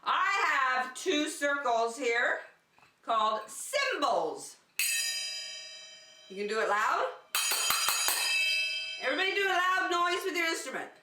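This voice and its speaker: A woman's voice: high-pitched, big pitch swings, slow, pauses often, music in the background. A woman preschool teacher giving simple, encouraging instructions to young children in a circle-time lesson. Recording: echoey room, distant microphone.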